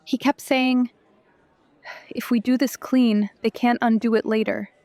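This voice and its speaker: An actress playing a grieving, candid young woman in an audio drama, speaking in a halting, earnest way. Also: a little high, fairly even intonation, a little mellow, no echo. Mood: earnest grief.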